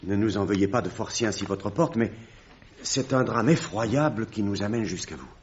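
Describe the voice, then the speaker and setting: A man playing a distressed, apologetic village priest with a halting, formal manner in an old radio drama, on a dull, noisy recording. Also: lowish voice, animated, natural voice. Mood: grave, apologetic. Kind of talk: 1950s French radio drama acting.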